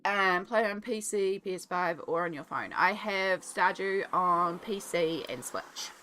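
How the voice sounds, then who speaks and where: An Australian woman with a cold, her voice lowered and coughing, chatting casually and rambling with many ums while she colours on a stream. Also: a little slow, bright, forceful tone. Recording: faint music behind.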